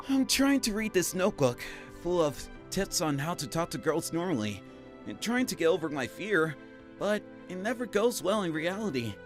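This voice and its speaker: A man voicing a shy, bookish teenage high school boy in a manga dub, self-effacing and expressive. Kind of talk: manga dub narration and dialogue. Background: background music.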